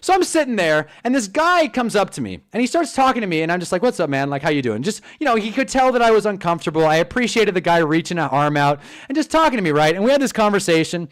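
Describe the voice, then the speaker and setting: Animated young Californian guy. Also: high-pitched, fast talker, few pauses. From Southern California. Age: 20s. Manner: animated, swearing, storytelling. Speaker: streamer host. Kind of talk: casual live-stream commentary.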